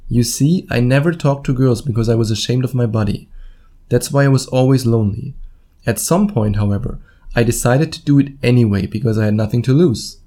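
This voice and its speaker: Male solo podcaster, German accent, soft mellow timbre, casual and blunt coaching monologue. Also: medium pitch, fairly quick. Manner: informal, blunt, swears casually, acts out imagined quotes. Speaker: podcast host and dating coach.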